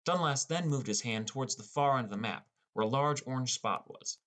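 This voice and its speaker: A man's voice: mid-range voice, fairly quick, fairly bright tone. A man narrating a scripted first-person story as an audiobook narrator.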